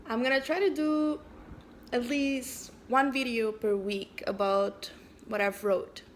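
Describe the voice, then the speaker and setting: Woman, from Canada, native French speaker, slightly high voice, hesitant, pausing reading, faint background hiss. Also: fairly even intonation. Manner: hesitant, with frequent pauses and fillers. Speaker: vlogger reading her own story. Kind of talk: casual video intro, then reading prose aloud.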